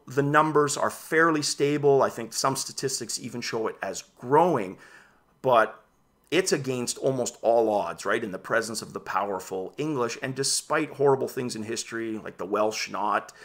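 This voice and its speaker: Gravelly, bright-voiced man chatting VR news. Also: mid-range voice. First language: Dutch. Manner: animated, gestures while talking. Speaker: VR news vlogger. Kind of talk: casual news commentary to camera. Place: home room with shelves of games.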